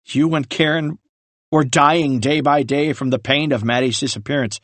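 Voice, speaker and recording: A man voicing a remorseful older brother who earnestly explains and pleads his case in a lowered voice. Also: steady tone, normal speed, a bit bright, no room sound, natural voice. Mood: pleading. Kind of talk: audiobook character reading.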